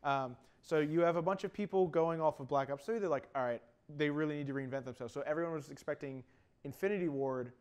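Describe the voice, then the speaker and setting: A man in his twenties co-hosting an animated video game discussion, explaining things in an opinionated way. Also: fairly high pitch, fast, clean tone. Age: 20s. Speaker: talk show co-host. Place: indoor TV studio.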